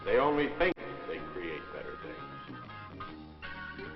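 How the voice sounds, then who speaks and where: A man's voice: a bass voice, huge pitch swings, slow, full character voice. A man playing a stern cautionary voice in a 1960s propaganda film, giving solemn, clipped pronouncements with an echo. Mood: stern warning. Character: stern cautionary voice listing pot's evils. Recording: echoey dull old film soundtrack, loud music.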